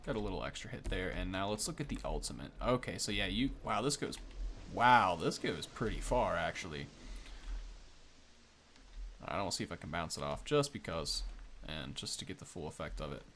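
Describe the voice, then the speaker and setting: A man's voice: low voice, expressive, moderate pace, fairly bright tone, a little hiss or hum. A young man giving casual, off-the-cuff game commentary, thinking aloud informally as he goes. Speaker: gaming video host.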